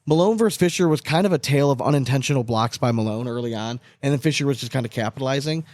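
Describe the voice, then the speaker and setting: A man's voice: mid-range voice, quick pace, no room sound, studio quality. A man co-hosting a podcast, chatting casually about sports with strong opinions and frequent swearing. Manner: animated, opinionated, swears often. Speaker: podcast co-host.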